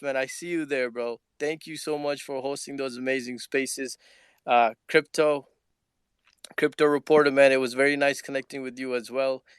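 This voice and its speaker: Excited guy, super smooth voice, dry close sound, keeps saying man. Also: fairly high pitch, no room sound. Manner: enthusiastic shout-outs, says man a lot. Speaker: Twitter Spaces speaker. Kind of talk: casual chat.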